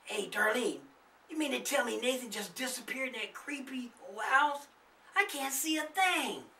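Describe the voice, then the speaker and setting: A man's voice: very high voice, expressive, normal speed, gravelly, bright, forceful tone, echoey room, recorded from a distance, a little hiss or hum, pitched above the performer's own voice, full character voice. A man voicing a nervous, reluctant boy who is wary in a spooky story. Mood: worried.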